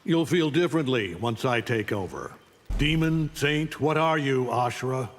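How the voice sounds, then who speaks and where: Guy playing scornful domineering supervillain conqueror, game dialogue, mid pitch, big echoey reverb. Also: lively intonation, normal speed, natural voice. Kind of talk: video game character dialogue. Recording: long hall reverb.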